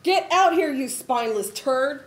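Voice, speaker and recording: A woman playing a bossy, sharp-tongued, mocking mission control boss in a comic screenplay table read. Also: high voice, expressive, unhurried. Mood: scolding. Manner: brash, scolding, theatrical. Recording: table read with background noise.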